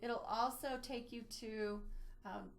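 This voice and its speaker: A woman's voice: a little high, fairly even intonation, takes it slowly, creaky. Woman guiding an online tutorial. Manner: explanatory, guiding step by step. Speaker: webinar presenter, library trainer. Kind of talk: instructional screen-share walkthrough, semi-scripted.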